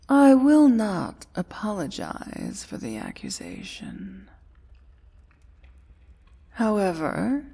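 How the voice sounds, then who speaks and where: Woman voicing a breathy, slow-speaking domineering dragon queen. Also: medium pitch, exaggerated voice. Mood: measured, musing. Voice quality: breathy, soft, often whispered. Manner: slow, deliberate, imperious, formal diction. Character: domineering, regal female dragon queen, dangerous and possessive. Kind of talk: soft-spoken ASMR fantasy roleplay.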